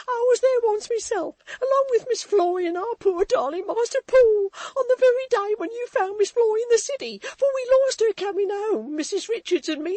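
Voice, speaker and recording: A reader voicing a frantic young female servant who wails and pleads in a shrill, tearful voice. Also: a little mellow, no echo. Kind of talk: audiobook character voice.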